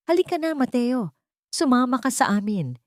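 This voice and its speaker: Lady narrator as a security guard, serious n formal, brisk, audio drama, dry recording. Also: sits high, sing-song, no room sound, voice pushed up.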